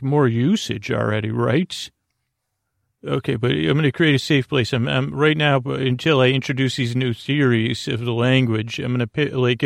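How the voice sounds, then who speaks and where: A man hosting a bedtime podcast in a gravelly voice, rambling through an improvised monologue that halts and circles back on itself. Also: medium pitch, no room sound, clean studio sound. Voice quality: gravelly, creaky. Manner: meandering, halting, repeats and circles back on words. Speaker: podcast host.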